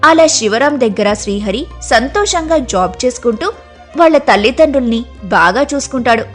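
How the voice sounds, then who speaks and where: A woman's voice: fairly high pitch, animated, fairly quick, faint music behind. A woman narrating a moral story from a script in a storytelling style.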